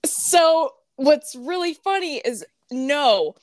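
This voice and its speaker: Young female podcast co-host, tipsy, high voice, rambling and giggly, dry close sound. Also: sing-song, takes it slowly, dry recording. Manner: giggly, rambling, loud bursts of laughter. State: tipsy from wine. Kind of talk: casual chat.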